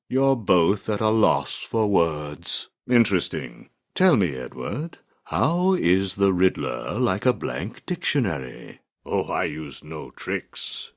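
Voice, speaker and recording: A cold, scheming psychiatrist villain from a video game, with an extremely deep voice. He speaks slowly and clinically, with condescending menace, yet his pitch moves around expressively as he toys with whoever he addresses. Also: exaggerated voice. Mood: smug, calculating. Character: cold, manipulative middle-aged male psychiatrist villain. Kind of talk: scripted video game voice acting.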